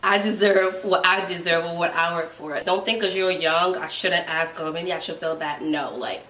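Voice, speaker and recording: A woman's voice: on the low side, steady tone, normal speed, bright, forceful tone, a little hiss or hum. A woman in her twenties with a Ghanaian accent, a guest giving earnest advice in a casual conversation. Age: 20s. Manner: earnest, advising. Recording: roomy, mic at a distance.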